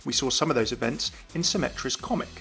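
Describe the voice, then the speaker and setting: Fast-talking man narrating game lore. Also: medium pitch, animated, vocal fry, sharp tone, husky. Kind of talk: scripted commentary narration. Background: faint music.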